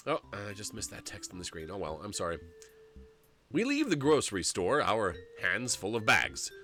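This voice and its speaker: A man's voice: lowish voice, normal speed. A man in his forties streaming a story game, reading its narration aloud and joking, laughing often. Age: 40s. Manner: animated and jokey, laughs often, rambles.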